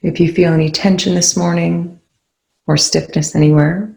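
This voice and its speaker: Soothing meditation leader, really flat gravelly delivery, gentle phrases, roomy echo. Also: mid-range voice, normal speed. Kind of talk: guided meditation, soft instruction. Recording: roomy, echoing indoor sound.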